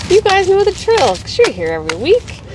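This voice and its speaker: A woman's voice: very high voice, wildly swooping pitch, brisk. Texas-raised woman rambling on a livestream outside, bit far from the mic, faint traffic hum. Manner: chatty and rambling, laughs easily. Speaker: host of a weekly Q&A livestream. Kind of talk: casual livestream chat, reading viewer comments.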